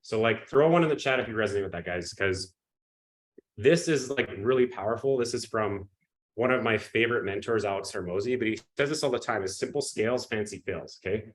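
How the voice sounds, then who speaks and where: A man with a cold, sniffling and coughing, giving an energetic live webinar pitch and often checking in with his audience. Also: fairly quick, low-quality recording. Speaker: webinar presenter.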